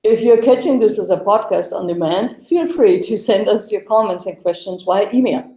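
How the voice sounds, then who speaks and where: A woman's voice: medium pitch, moderate pace, mellow, roomy sound, poor audio. A woman, hosting a live web Q&A show over a video call. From Germany. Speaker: host. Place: home room, video call.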